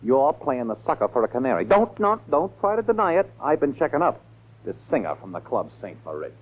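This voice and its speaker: An actor playing a blunt, exasperated campaign manager who talks in insistent slang, in 1940s radio style. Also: male voice, mid-range voice, very animated, brisk. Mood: exasperated, scornful. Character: hard-nosed, exasperated male political campaign manager. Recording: tinny 1940s radio recording.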